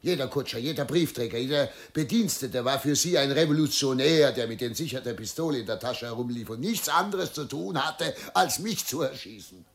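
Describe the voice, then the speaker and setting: An actor playing a troubled, middle-aged governor and father in a radio play, dutiful and self-justifying, speaking in a formal, halting way. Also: medium pitch, lightly acted. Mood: strained, self-justifying. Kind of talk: German radio play acting.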